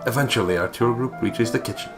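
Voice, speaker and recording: A man's voice: medium pitch, fast talker, faint music behind. A man doing a joking let's play, narrating a visual novel aloud in a playful, theatrical, sweary way. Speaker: let's player reading the game aloud.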